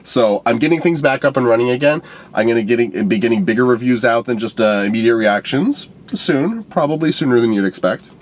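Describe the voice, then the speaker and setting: A young man with a stuffed-up nose giving an unscripted, profane movie review rant, swinging between loud outbursts and low mutters. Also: animated, fairly quick.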